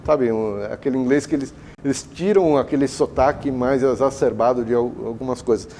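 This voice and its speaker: Brazilian man, consultant guest on a TV talk show, warm mellow tone, lively anecdotes, faint hiss. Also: mid-range voice, brisk. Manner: animated, chatty, acts out client dialogue. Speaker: international education consultant. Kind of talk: TV interview answers. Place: TV studio.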